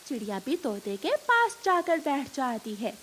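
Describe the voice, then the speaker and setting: High-pitched woman narrating a children's story. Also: big pitch swings, unhurried, a bit bright, slight background noise.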